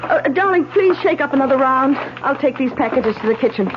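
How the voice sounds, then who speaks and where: An actress playing a refined, curious but uneasy scientist's wife in a vintage radio drama, theatrical and hesitant with emphatic repetitions. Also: female voice, high-pitched, lively intonation, fairly quick. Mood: questioning. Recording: dull radio recording with hum and hiss.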